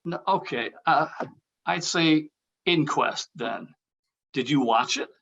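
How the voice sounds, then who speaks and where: A man acting an awkward, nervous, evasive character in a script table read, halting and stammering as he goes. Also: a little high, gravelly, fairly bright tone, muffled recording, slight background noise, full character voice. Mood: wry, uneasy.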